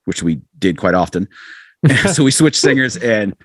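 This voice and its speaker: A man's voice: on the low side, expressive, normal speed, no echo. An American man in his forties chatting casually as an interview guest, rambling and self-deprecating as he tells stories. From southern United States. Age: 40s. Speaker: podcast guest, rock musician.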